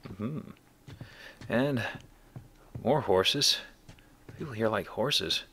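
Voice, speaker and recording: A man's voice: lowish voice, very slow, sharp tone, husky. A man hosting a let's play, giving casual commentary on a video game.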